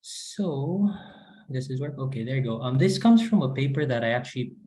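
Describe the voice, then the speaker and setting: A man in his forties opening an academic talk in a relaxed, friendly, conversational way. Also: medium pitch, normal speed, a little hiss or hum, low-quality recording. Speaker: guest lecturer. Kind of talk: academic presentation.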